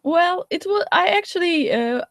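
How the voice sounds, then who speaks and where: A woman's voice: very high voice, lively intonation, dry recording. Woman, dry close sound. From Turkey. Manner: thoughtful, measured, pauses with fillers and restarts. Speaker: guest scientist. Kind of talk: interview answers. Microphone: video call.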